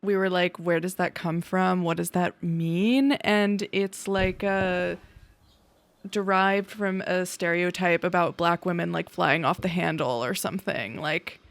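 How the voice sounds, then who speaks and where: A woman's voice: fairly low pitch, fairly even intonation, measured pace, creaky, dry recording. A woman co-hosting a podcast, talking through her thoughts in casual conversation. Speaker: podcast co-host.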